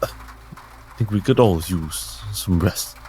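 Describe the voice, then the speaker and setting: A man playing a solemn, gentle priestly healer in a tabletop game, speaking in measured, formal, caring phrases. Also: low voice, a little hiss or hum.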